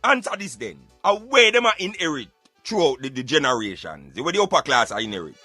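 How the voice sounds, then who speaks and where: A man with a Jamaican accent giving emphatic, argumentative, streetwise opinions in animated radio banter. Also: on the high side, quick pace, breathy edge, dry recording, soft background music. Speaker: radio show host.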